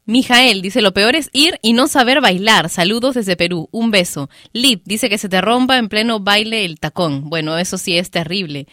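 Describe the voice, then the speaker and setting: A female radio host with a bright, sharp voice, chatting through listener messages and giggling as she goes. She is close on a studio microphone, and the sound is dry and close. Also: medium pitch, moderate pace, hardly any pauses, no echo. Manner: chatty, giggles between stories. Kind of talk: casual radio chat reading listener messages.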